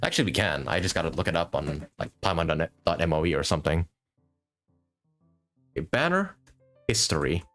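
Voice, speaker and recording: A man's voice: deep voice, big pitch swings, fairly quick, pauses often, a bit bright, faint music behind. A man chatting casually as a live game streamer, rambling and thinking aloud with some mild swearing. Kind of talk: casual gaming stream commentary.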